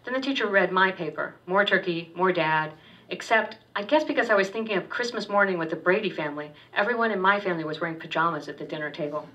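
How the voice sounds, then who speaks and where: A woman author reads her fiction aloud with a steady, wry delivery and the odd stumble. Her voice is bright and slightly low, captured far from the mic on a dull, lo-fi recording. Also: fast talker. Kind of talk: literary reading of first-person fiction. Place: public reading at a university poetry center.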